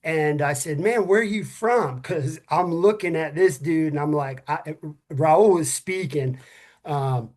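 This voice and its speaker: A man's voice: a little high, unhurried, darkish tone. A middle-aged man co-hosting a first responder podcast, talking earnestly and candidly with friends, casual and given to swearing. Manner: earnest, candid, salty-tongued. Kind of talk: casual heartfelt talk among friends.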